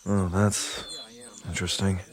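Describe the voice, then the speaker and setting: A man plays a guarded sci-fi sergeant with a low, deep voice. He is laconic and dry, speaking in a low-key way as in scripted drama. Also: flat delivery, slow.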